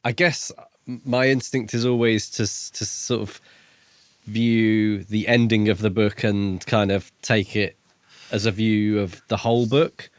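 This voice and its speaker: A man's voice: lowish voice, fairly even intonation, measured pace, no room sound. A man co-hosting a casual book podcast, thinking aloud hesitantly as he talks. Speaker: podcast co-host.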